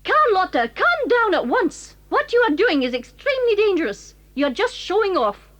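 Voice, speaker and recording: An actress with a British accent playing a bossy, exasperated games mistress who scolds and commands in an audio drama. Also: female voice, very high-pitched, sing-song, moderate pace, a bit bright, exaggerated voice. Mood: firm, flustered. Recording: slightly dull lo-fi recording.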